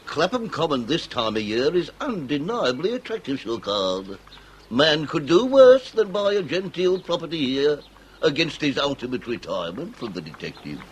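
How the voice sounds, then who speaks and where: Pompous, swooping Victorian police inspector. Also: male voice, fairly high pitch, big pitch swings, a little hiss or hum, rough recording. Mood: officious, fussy. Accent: English. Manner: theatrical, orotund, drawn-out emphasis with occasional stammer. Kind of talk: period comedy radio drama acting.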